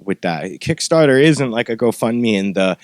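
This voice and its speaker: Guy hosting a casual podcast, average pitch, dry close sound, talks loose and swears. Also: animated, moderate pace, no room sound. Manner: profane, informal. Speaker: podcast host and former metal band member.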